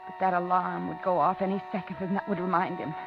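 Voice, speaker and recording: A woman's voice: fairly low pitch, flat delivery, quick pace, creaky. An actress playing a frightened, outwardly polite captive woman who narrates her ordeal breathlessly in a 1950s radio thriller. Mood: tense, hushed dread. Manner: dramatic, breathless first-person suspense narration. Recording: dull 1950s radio drama, loud music.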